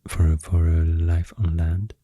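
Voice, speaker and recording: A man with a Swedish accent softly rambling through an ASMR explanation, breathy and often near a whisper, soothing and hesitant in manner. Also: deep voice, level tone, slow, no echo. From Sweden. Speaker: ASMR host.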